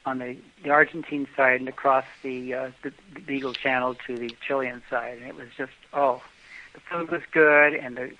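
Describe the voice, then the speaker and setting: An older American man telling travel stories in a casual interview, rambling with many fillers and restarts. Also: mid-range voice. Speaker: travel guest. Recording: dull lo-fi podcast audio.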